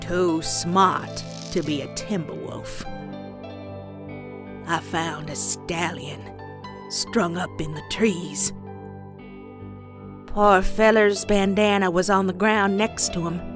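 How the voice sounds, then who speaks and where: A woman voicing a stern, bitter old farm grandmother pony in a comic dub, with a Southern US accent and folksy, deliberate delivery. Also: fairly low pitch, steady tone. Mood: grave, confessional. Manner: folksy, slow, deliberate storytelling. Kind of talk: dramatic character acting in comic dub. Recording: dramatic music behind.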